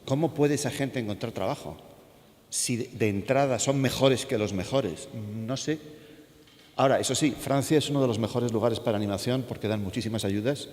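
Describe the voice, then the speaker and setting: A man's voice: medium pitch, normal speed, a little mellow. A man on an animation panel, speaking emphatically and hesitating over names. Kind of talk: panel discussion. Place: art school event hall. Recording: echoey hall.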